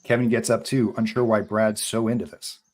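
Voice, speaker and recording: A man's voice: fairly low pitch, little pitch movement, fairly quick, a little mellow. A man reading scene directions as the narrator of a live script table read.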